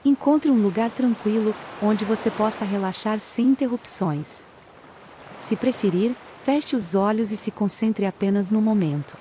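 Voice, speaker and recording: A Brazilian woman narrating a guided meditation in a calm, soothing way with long pauses. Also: medium pitch, a little hiss or hum. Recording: ocean waves behind the voice. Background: sound of sea waves.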